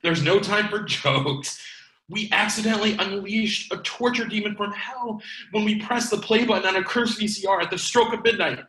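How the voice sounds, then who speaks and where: A man's voice: high-pitched, moderate pace, pushed and bright. A man playing a pragmatic, bossy video store clerk with an emphatic, mock-serious manner in a comedy table read. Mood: urgent. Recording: echoey room.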